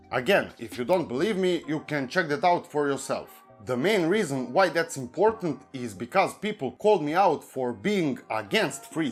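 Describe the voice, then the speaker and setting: Serbian-accented man reading a script. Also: fairly high pitch. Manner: measured, even, reads from a prepared script. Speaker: YouTube commentary host. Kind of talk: scripted commentary read aloud.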